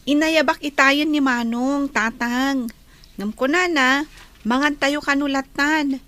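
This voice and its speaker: A woman's voice: high voice, slow, a bit bright. A woman with an Ilocano accent playing a caring, respectful younger sister in an audio drama.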